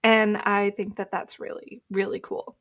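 Woman, mid-pitched reflective co-host. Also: little pitch movement, unhurried. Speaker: podcast co-host. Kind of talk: reflective conversational discussion.

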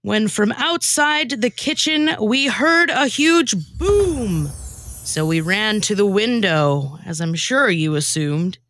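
Bright, animated woman storyteller. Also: medium pitch, slow. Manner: theatrical storytelling. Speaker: former co-host and narrator. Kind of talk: scripted rhyming comedy narration, radio theatre.

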